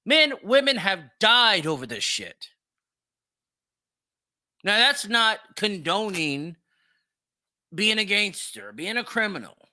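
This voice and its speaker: A man's voice: sits high, sing-song, slow, pauses often, pushed and bright, dry recording, clean studio sound. A man hosting a solo opinion show, speaking bluntly and profanely with mocking, emphatic repetition. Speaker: podcast host.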